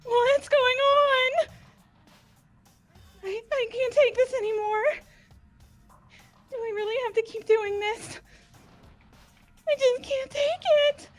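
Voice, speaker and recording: A woman voicing a distraught, pleading high-school girl trapped in a deadly game, in a fan-voiced playthrough. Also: fairly even intonation, slow, pauses often, soft background music. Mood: desperate. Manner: pleading, upset.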